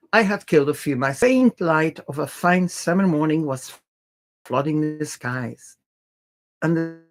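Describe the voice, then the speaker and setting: A reader narrating a classic adventure novel aloud in the first person. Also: mid-range voice.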